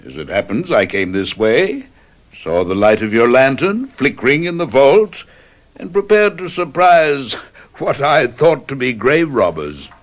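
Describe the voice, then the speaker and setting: An actor playing a cruel, pompous English aristocrat in an old radio drama, sarcastic and menacing. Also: male voice, mid-range voice, sing-song, a little slow. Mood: smug surprise. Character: cruel, gloating English aristocrat husband with a gun.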